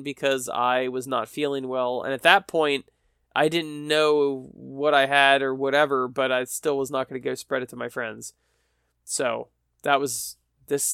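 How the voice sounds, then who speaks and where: A man's voice: mid-range voice, fairly bright tone, clean tone, no echo, studio quality. A man hosting his own podcast, tired and stuffy with a cold, rambling with self-mocking humor. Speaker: podcast host. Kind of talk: solo podcast chat. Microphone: podcast microphone.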